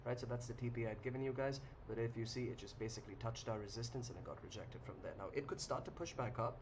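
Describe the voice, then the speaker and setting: A young man hosting a live trading stream, chatting casually with viewers in a relaxed, rambling way with many ums and restarts. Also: mid-range voice, fast. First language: English. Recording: muffled lo-fi audio with clear noise.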